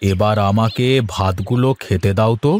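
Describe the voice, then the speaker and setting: A man's voice: on the low side, steady tone, normal speed, darkish tone, slight background noise, natural voice. A man playing a kindly, humble village father in a cartoon fairy tale. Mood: caring.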